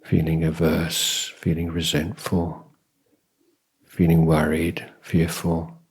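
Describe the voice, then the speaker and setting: A man with a deep, very husky voice guides a meditation. He speaks slowly in an even, level tone. His phrases are short and measured, with long calm pauses between them. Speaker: Buddhist monk, meditation teacher. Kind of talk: guided meditation dharma talk.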